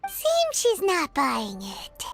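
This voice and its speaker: Game casting: excitable pint-sized fairy girl, extremely high, swooping, childlike voice with cheeky energy. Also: extremely slow, vocal fry, exaggerated voice. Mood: skeptical. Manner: squeaky, chirpy, refers to herself by name. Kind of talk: video game voice acting. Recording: prominent tinkling music behind.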